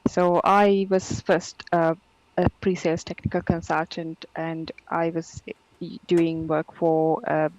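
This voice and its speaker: A woman's voice: low voice, level tone, takes it slowly, a little mellow, dull audio. A woman from Sri Lanka with a mixed Sri Lankan and Geordie accent, a guest in a casual interview who laughs easily and repeats words as she starts sentences. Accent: mixed Sri Lankan and Geordie English.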